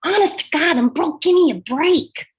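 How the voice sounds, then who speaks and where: A woman's voice: very high voice, animated, moderate pace. A woman playing a frightened male dockworker in debt, pleading and whining in a script table read. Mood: panicked begging.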